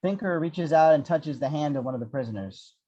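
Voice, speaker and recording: A man's voice: a little high, lively intonation, fairly quick, mellow, highs cut off. A man reading out the action descriptions of a screenplay as narrator.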